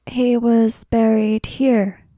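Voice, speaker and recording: Young samurai woman, female player acting, tabletop game: mellow, very slow, formal, polite, reserved questioning. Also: fairly high pitch, little pitch movement, dry recording. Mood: polite, inquiring.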